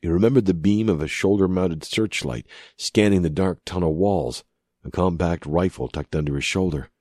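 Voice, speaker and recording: A man narrating scripted fiction as an audiobook reader, with dramatic, measured and deliberate phrasing. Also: deep voice, dark tone, dry recording, clean studio sound.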